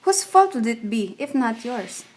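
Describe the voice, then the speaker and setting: A woman's voice: on the high side, sing-song, a little slow, darkish tone. An actress playing a blunt, disapproving woman with a tart, matter-of-fact manner in a radio play. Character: blunt, disapproving, needling woman, secretly envious.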